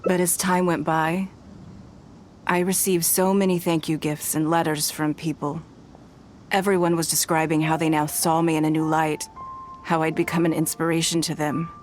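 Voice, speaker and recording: A woman voices a wealthy, composed lady of high standing in a game scene. Her voice sits fairly low for a woman and her phrasing is formal and measured. She carries a quiet grief beneath her poise, with soft music playing behind her. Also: level tone, close to an everyday voice. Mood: moved, reflective. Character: composed, wealthy noblewoman leader, quietly grieving, confiding. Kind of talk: scripted video game dialogue.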